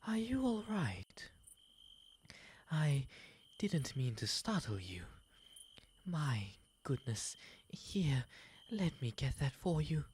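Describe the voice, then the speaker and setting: A woman voicing a gentle, refined male owl demon in an ASMR roleplay, softly and breathily, mostly whispering, with formal, courteous phrasing. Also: deep voice, big pitch swings, takes it slowly, slight background noise, heavily acted. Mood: apologetic, gentle.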